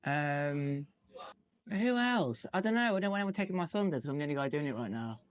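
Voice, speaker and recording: A man's voice: on the high side, expressive, fairly quick, no echo. A young English man, a musician guest, chatting casually in an interview, swearing and acting out bits of dialogue as he tells stories. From England. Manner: chatty storyteller, swears, acts out quoted dialogue.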